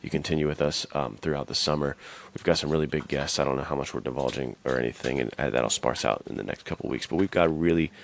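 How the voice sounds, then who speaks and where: Extremely deep, creaky-voiced man. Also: fast talker, hardly any pauses, slight background noise. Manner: informal slang, frequent "like". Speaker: podcast co-host. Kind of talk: casual podcast chat.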